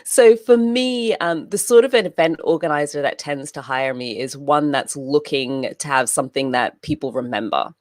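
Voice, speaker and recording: A woman's voice: deep voice, moderate pace, hardly any pauses, no room sound, clean studio sound. A woman who grew up in Papua New Guinea and the UK, telling stories in a casual interview with frequent laughter. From grew up in Papua New Guinea, then the UK. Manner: lively storyteller who laughs often. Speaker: interview guest, event host and moderator.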